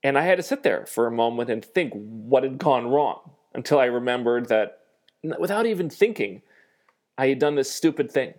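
A man with a nasal voice hosting a solo podcast, thinking aloud in a reflective, self-deprecating way with frequent pauses. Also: mid-range voice, expressive, a little mellow. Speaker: podcast host.

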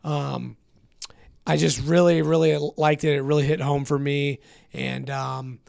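Hoarse, gravelly male co-host, eager casual talker, dry close sound. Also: on the high side, level tone, measured pace, darkish tone, no echo. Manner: enthusiastic, many fillers. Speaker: podcast co-host. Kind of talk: casual chat.

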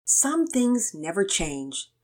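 A woman voicing a wry, affectionate mother with a measured, teasing delivery in a children's story. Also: sits low, big pitch swings, fairly bright tone, husky, heavily acted. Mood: wry, fond.

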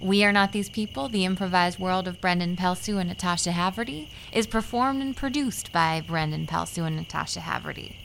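Low, creaky woman reading credits. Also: brisk, hardly any pauses, a bit bright, a little hiss or hum, rough recording. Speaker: show announcer reading credits. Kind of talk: scripted credits narration.

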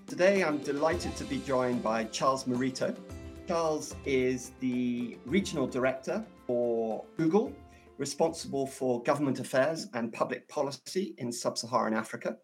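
A man's voice: mid-range voice, moderate pace, faint music behind. A man hosting a podcast interview, introducing his guest in an enthusiastic, effusive way, speaking from a hotel room. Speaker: podcast host.

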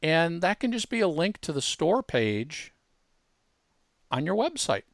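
A man's voice: fairly high pitch, sing-song, unhurried, no room sound, studio quality. A man presenting a casual tutorial, explaining step by step in a conversational way with emphatic asides. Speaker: presenter demonstrating a web tool.

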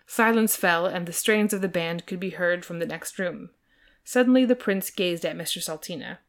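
Woman, slightly low voice, amused, dramatic storybook narration, podcast host. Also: moderate pace. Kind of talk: comic dramatic reading of a novel.

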